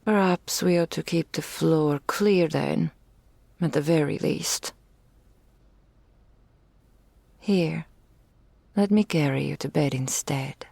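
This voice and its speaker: A woman voicing a possessive, adoring dragon in human form for an intimate ASMR roleplay, with regal, old-fashioned phrasing and a breathy, often whispered delivery. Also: sits low, a little mellow, no room sound, heavily acted. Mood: doting, musing. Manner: slow, formal archaic phrasing, regal and doting. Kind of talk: intimate ASMR roleplay, scripted character acting. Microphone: close studio mic, dry.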